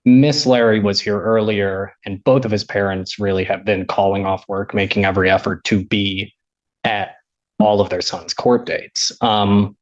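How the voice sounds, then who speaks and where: An American man speaking as a defense attorney, making a measured, formal and respectful plea to a judge. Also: on the low side, level tone. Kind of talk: courtroom argument to a judge. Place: indoor courtroom. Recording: muffled courtroom audio.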